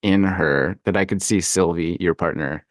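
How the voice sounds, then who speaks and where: Dude hosting a podcast, kinda low voice, just chatting loosely, super dry close mic. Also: male voice, moderate pace, no room sound. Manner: informal, conversational, loosely phrased. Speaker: podcast host, interviewer. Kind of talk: casual chat.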